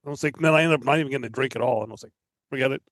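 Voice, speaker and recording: A man's voice: mid-range voice, very fast, a bit bright, no echo, clean studio sound. A Canadian man with a Canadian accent telling casual anecdotes as a podcast guest.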